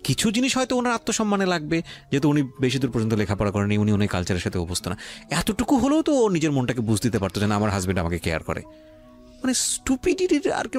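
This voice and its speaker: A man with a Bangladeshi accent hosting a radio interview, commenting emphatically and with strong opinions, dropping English phrases into his talk. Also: mid-range voice. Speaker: radio show host.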